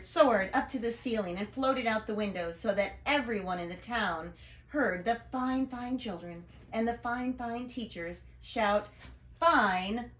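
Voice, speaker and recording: A woman teacher reading a children's storybook aloud with expressive, deliberate emphasis and chatty asides. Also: fairly high pitch, echoey room.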